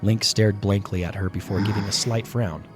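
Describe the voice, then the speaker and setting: A man narrating a scripted fantasy audio play with dramatic, deliberate storytelling. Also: fairly low pitch, fairly even intonation. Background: prominent music.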